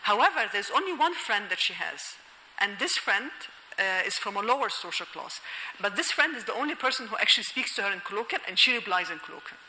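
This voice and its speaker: Egyptian woman lecturing, bright sharp voice, lots of uh and restarts, echoey hall sound. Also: mid-range voice, sing-song, fairly quick. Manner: thoughtful, many fillers and restarts, laughing asides. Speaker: lecturer and novelist. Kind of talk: university lecture.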